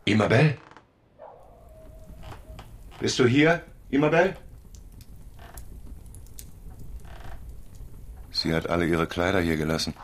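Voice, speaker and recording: A man's voice: sits low, very animated, normal speed, background noise. An actor playing a naive, stubborn man devoted to his girl, speaking earnestly in a radio crime drama. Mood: anxious, searching.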